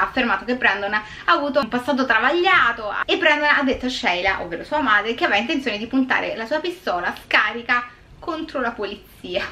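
A woman's voice: a little high, sharp tone, a little hiss or hum. A young woman, retelling a true crime story in a casual vlog, breaking off often for sarcastic, exasperated remarks. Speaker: YouTube true crime host. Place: small indoor room with purple lighting.

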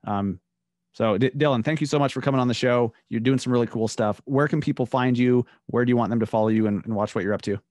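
A man in his thirties hosting a podcast, talking in a relaxed, conversational way into a studio microphone at home. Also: medium pitch, fast, dry recording. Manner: relaxed, conversational, brief affirmations. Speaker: podcast host. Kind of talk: casual interview chat. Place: home room with studio mic. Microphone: studio microphone on boom arm.

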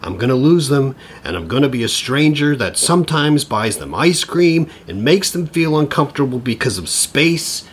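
An actor playing a flustered, needy middle-aged father in an audio play, with a husky voice and a hesitant, stammering way of talking. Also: medium pitch, big pitch swings, normal speed, hardly any pauses, a little hiss or hum, lightly acted. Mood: anxious, dejected. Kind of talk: naturalistic audio play acting.

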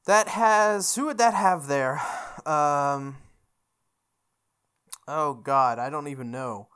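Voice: medium pitch, slow pace, fairly bright tone, clean tone. A let's play host reading a visual novel's lines aloud and chatting jokingly with viewers between them. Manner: reads the lines in character, then comments jokingly to viewers. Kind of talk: reading game text aloud with casual commentary.

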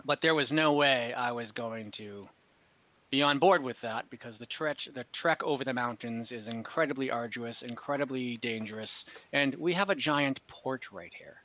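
A friendly, businesslike merchant, voiced by a man running the game talks shop in an easygoing way. The voice is bright and forward. The call audio is dull and lo-fi. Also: mid-range voice, moderate pace, close to an everyday voice. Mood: genial. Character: friendly, businesslike male merchant who owns a port.

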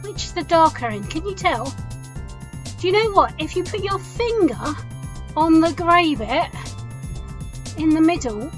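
Female kids' game presenter: high voice, chatty delivery, light children's music underneath. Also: animated, unhurried, pauses often.

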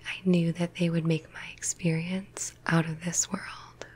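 A young woman playing an earnest client giving a breathy, measured, sincere whispered testimonial into a close microphone. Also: fairly low pitch, flat delivery, a little mellow, a little hiss or hum, natural voice. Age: young adult. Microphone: close desktop studio microphone.

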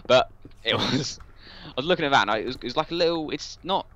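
A man's voice: medium pitch, expressive, quick pace, bright, forceful tone. A young man with a southern English accent, chatting in a rambling, digressive way as he tells a casual story. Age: young adult. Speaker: guest on a gaming video.

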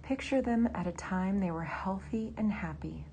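A woman leading a guided meditation in a soft, breathy near-whisper. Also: medium pitch, moderate pace, slight background noise.

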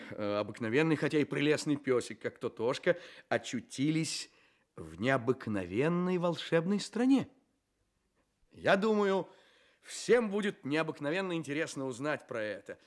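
A man's voice: medium pitch, close to an everyday voice. An actor playing a courteous, mysterious storyteller in a children's radio play, theatrically addressing his listeners. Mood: courteous, playful. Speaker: narrator, storyteller host. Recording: studio radio play with music.

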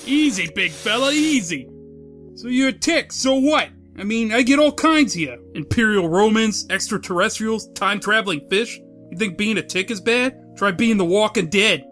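A man's voice: high voice, expressive, normal speed, a bit bright, soft background music. A man playing a blunt, incredulous, nosy street guy in a comic-book audio drama, talking colloquially. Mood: weary, confiding. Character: gruff, skeptical, nosy street guys needling a superhero.

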